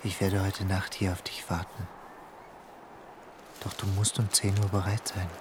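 An actor playing a persuasive lover who talks low, coaxing and insistent, in a noisy old radio play recording. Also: male voice, flat delivery, measured pace. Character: smooth persuasive male lover, urging escape. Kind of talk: radio drama acting.

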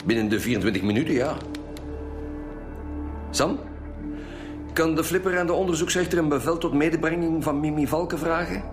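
A man's voice: a little high, normal speed, pauses often, close to an everyday voice. A man with a Flemish accent playing a stern, wry lead homicide detective in a radio drama, with a formal, probing manner. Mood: wry, brisk. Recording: music behind the voice.

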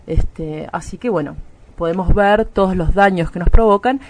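A man's voice: high-pitched, moderate pace. A man with an Argentine accent playing a smug, prejudiced radio commentator who lectures primly with fussy asides in a mock-serious drama. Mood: didactic, cautioning. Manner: prim, didactic, fussy asides. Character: smug prejudiced man solemnly warning listeners about picketers.

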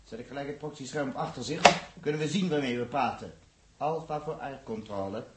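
A man's voice: mid-range voice, brisk, natural voice. Grumbling spaceship crewman in echoey cabin, acted. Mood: busy, bemused. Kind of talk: 1960s Dutch science fiction radio drama acting. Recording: echoey, faintly noisy vintage radio recording.

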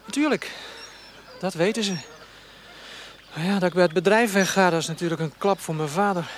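A man's voice: sits high, a little fast, slight background noise, natural voice. An actor in his twenties with a Netherlands Dutch accent playing a troubled, hesitant young farmer's son in a radio play. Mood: apprehensive. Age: 20s. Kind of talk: naturalistic radio drama dialogue.

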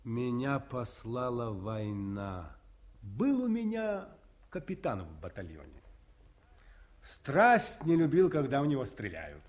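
An actor playing a stern middle-aged army colonel who tells stories with sardonic bite, swinging between hushed and barking. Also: male voice, mid-range voice, huge pitch swings, takes it slowly. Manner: sardonic storyteller, swings between hush and bark. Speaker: actor in a radio play. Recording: 1950s studio radio recording.

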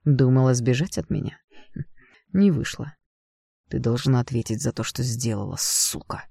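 A male narrator plays a young man consumed by bitter anguish and vengeance. His voice is hoarse and crackles with fry in a very dry recording. He snarls and taunts with open menace, in storybook melodrama. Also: medium pitch, moderate pace, mellow, no room sound. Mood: bitter, mocking.

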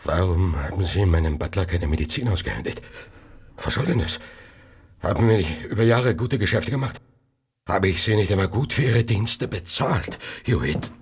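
An actor in a German radio play as an irritable, paranoid, drug-addicted Victorian gentleman, brusque and bitter. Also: sits low, expressive, normal speed, husky, full character voice. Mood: indignant.

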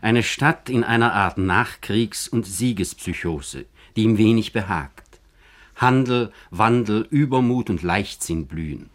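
A man narrating a scripted biographical radio feature in German in a formal, measured manner. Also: on the low side.

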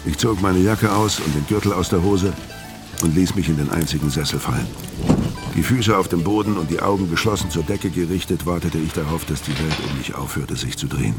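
A very deep male voice of an actor carries a gruff, world-weary criminal in a noir radio play. He is middle-aged and hard-boiled, speaking tersely and guardedly both in dialogue and first-person narration. Music plays clearly behind him. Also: a little fast, natural voice. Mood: brooding narration.

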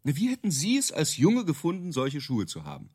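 Cocky ad guy in a radio drama, super lively pitch swings, emphatic n dismissive, very sure of himself. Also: brisk, exaggerated voice. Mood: enthused pitching. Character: brash, self-assured male product-marketing creative selling gadget stories. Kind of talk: radio drama dialogue in interview form.

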